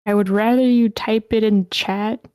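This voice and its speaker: A woman's voice: mid-range voice, steady tone, normal speed, mellow, clean tone, no echo, clean studio sound. A woman casually moderating a group session.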